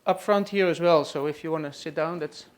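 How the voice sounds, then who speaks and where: Halting Dutch-accented man presenting. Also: fairly high pitch. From Netherlands. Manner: hesitant, many "uh" and "let's say" fillers. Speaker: presenter hosting a farm tour session. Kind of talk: live introduction to an audience. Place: room at a trade expo.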